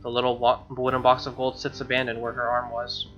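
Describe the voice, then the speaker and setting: Male let's-play host; sharp bright voice, mid pitch, casual snark and swearing, dull recording. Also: monotone, brisk. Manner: snarky, profane asides. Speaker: gaming video host. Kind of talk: reading a visual novel aloud with casual commentary. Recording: dull lo-fi mic over music. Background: game music with guitar.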